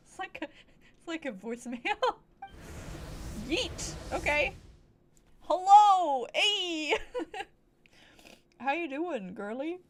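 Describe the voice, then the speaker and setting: A woman's voice: high-pitched, sing-song, extremely slow, long pauses, slight background noise. A woman streaming a video game with casual, playful, exclamatory reactions and offhand swearing.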